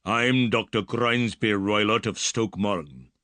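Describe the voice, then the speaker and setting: A man's voice: lowish voice, unhurried, pushed and bright, no echo. A man voicing a large, rude, threatening older man. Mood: menacing. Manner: rude, menacing.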